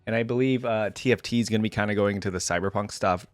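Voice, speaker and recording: A man's voice: lowish voice, very fast, no echo. A man hosting a reaction video, giving casual, musing commentary on game voice lines in a conversational way.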